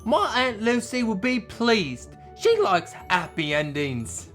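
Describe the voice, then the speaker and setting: A man's voice: sits high, wildly swooping pitch, takes it slowly, fairly bright tone, faint music behind, voice pushed up, exaggerated voice. A man voicing a small, polite, earnest bear in a children's storybook. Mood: pleased.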